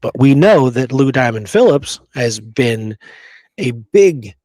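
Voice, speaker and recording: A man's voice: medium pitch, animated, takes it slowly, mellow, no echo. A man co-hosting a history talk show, chatting casually and emphatically, often checking that his listener agrees. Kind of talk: casual chat about Western history and films.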